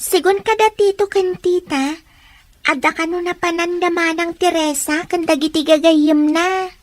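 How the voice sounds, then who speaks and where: Radio play niece, super high sweet voice, polite and eager. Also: female voice, fairly even intonation, a little slow, no room sound. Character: young woman visiting her aunt, uncle and father. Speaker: radio drama actress.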